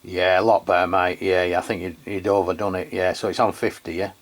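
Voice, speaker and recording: A man's voice: low-pitched, level tone, brisk, slight background noise. A man with an English accent chatting casually as a radio reviewer, in a hesitant, rambling, matey way. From England. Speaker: radio review channel host. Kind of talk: casual radio chat and product testing.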